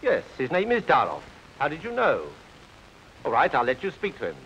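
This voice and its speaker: A brisk official making a phone call, crisp and businesslike, his higher voice rising and falling a lot. The old film sound is dull, with faint rain hiss behind him. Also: wildly swooping pitch, fairly bright tone, exaggerated voice. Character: brisk male official on the telephone. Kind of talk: 1950s TV drama acting.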